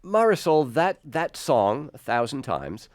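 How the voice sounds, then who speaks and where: A man hosting a conversational studio music interview, asking thoughtful questions in a halting, exploratory way. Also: medium pitch, big pitch swings, dry recording. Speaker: radio host and interviewer. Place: radio studio.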